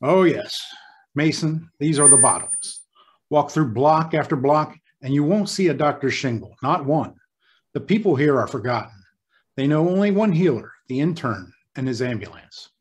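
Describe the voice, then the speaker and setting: Guy playing a rattled hospital boss in an old radio play, halting, earnest, voice goes up and down a lot. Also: fairly high pitch, animated, lightly acted. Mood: troubled, earnest. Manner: halting, repeats himself when rattled. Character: flustered, earnest male hospital superintendent, a doctor. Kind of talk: old-time radio drama acting.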